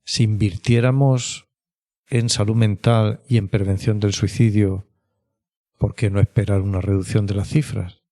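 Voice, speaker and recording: A man with an accent from Spain speaks as an expert interview guest, explaining in a measured, conversational way and often checking in with short tag questions. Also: on the low side, level tone, mellow. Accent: Spanish from Spain. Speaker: clinical psychologist, interview guest.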